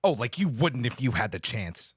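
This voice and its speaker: A man playing a brash, cocky starship commander in an animated sci-fi table read, with emphatic, exclamatory delivery. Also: mid-range voice, normal speed, breathy edge, no echo, studio quality. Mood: incredulous.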